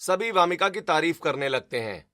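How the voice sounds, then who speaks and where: Bright-voiced man narrating a story. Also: fairly high pitch, expressive, moderate pace. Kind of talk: scripted narration of a family drama cartoon.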